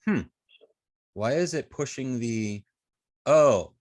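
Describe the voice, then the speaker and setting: A man's voice: medium pitch, animated, takes it slowly, darkish tone, dry recording. A man teaching an informal hands-on coding lesson, thinking aloud hesitantly and trailing off mid-sentence. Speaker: web design instructor.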